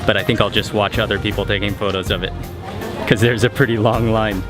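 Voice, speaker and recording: A man casually narrating a travel vlog while walking outdoors, relaxed and chatty with fillers. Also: lowish voice, a little fast. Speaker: travel vlogger. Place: outdoors on city streets. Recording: music bed and traffic behind.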